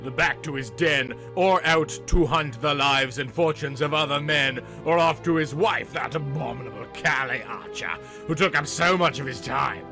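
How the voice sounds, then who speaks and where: A man's voice: fairly high pitch, moderate pace, gravelly, music in the background. A man narrating a comic story in a hammy, dramatic, emphatic audiobook style. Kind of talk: theatrical comic audiobook reading.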